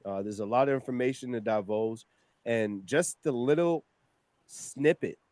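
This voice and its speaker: A man hosting a casual online chat, enthusiastic and quick to laugh. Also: medium pitch, a little mellow, dry recording. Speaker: show host.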